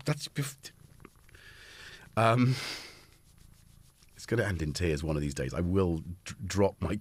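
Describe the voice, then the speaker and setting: A man's voice: on the low side, no echo. A man hosting a casual livestream from his home music studio, rambling and joking and often cutting himself off mid-sentence. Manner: rambling, self-interrupting, jokey. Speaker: livestream host.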